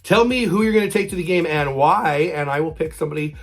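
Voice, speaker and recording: A man with a hoarse voice from a cold, hosting a casual sports fan vlog and talking chatty and repetitive. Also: a little high, fast. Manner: chatty, repeats himself, fan talk. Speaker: channel host.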